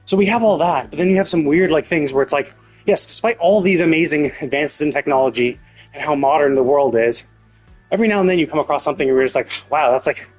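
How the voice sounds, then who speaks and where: A man with a husky, slightly rough voice talks through a game on stream. He circles around his points casually and repeats himself for emphasis. Soft game music plays behind him. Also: on the high side, fast talker. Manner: rambling, repetitive, emphatic musing. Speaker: live streamer playing a story game. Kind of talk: casual gameplay commentary.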